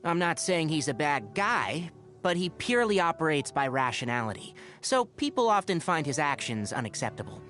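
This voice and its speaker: Game role: young scholarly forest-ranger mentor, articulate and dry, lightly high voice with plenty of melodic swing. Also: male voice, sing-song, normal speed. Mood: wry exasperation. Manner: articulate, wry, gently lecturing. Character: young male fox-eared forest ranger mentor, scholarly, dryly exasperated. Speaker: video game character voice actor. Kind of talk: game character voice lines, conversational monologue. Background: background music.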